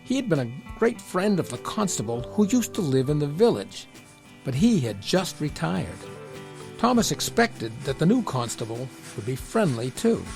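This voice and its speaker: A man's voice: fairly high pitch, very animated, normal speed, vocal fry, a little mellow, faint music behind. A man reading a scripted children's story as the narrator.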